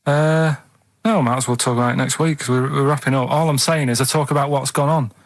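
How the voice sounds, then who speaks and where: A man's voice: medium pitch, steady tone, fast, no echo. Hesitant Mancunian man, dry studio. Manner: hesitant, full of ums and "and stuff". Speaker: radio show regular. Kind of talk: rambling radio chat. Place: radio studio. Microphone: studio microphone.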